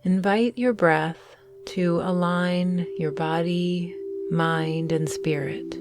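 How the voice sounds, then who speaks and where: A woman guiding a meditation in a gentle, soothing manner, with deliberate phrasing and long pauses. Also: lowish voice, steady tone, soft background music. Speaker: meditation guide and host. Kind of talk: guided morning meditation.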